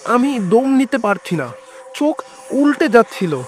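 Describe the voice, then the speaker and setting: A man's voice: extremely high pitch, lively intonation, measured pace, slight background noise. A man narrating a scripted first-person horror story in a dramatic, suspenseful storytelling manner. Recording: background music under the voice.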